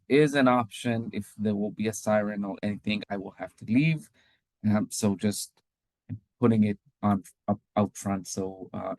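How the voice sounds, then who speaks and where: Israeli man with a low voice. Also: no echo, rough recording. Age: 30s. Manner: hesitant, many ums, laughs lightly. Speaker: guest speaker, activist. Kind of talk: casual spoken answer. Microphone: video call.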